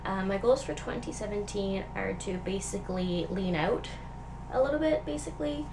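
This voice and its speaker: A young woman talking straight to her viewers in a loose, rambling way, full of ums and likes. Her voice sounds stuffy and nasal from a cold. A steady hiss of background noise sits under the recording. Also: medium pitch, moderate pace. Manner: rambling, filler-heavy, chatty. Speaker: vlogger. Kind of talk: casual chat.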